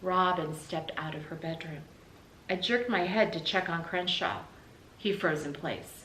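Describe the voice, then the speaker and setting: A woman's voice: fairly low pitch, measured pace, a bit bright, recorded from a distance. A woman with an American accent reading a children's book aloud as a teacher would. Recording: roomy, noticeable background hiss.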